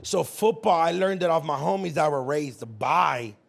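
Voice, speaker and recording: A young man from California hosts a loose podcast conversation, his voice sitting a bit high with a gravelly edge. He talks in animated bursts, teasing his guest and swearing freely. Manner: animated, swearing, quick to tease. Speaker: podcast host. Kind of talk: casual chat.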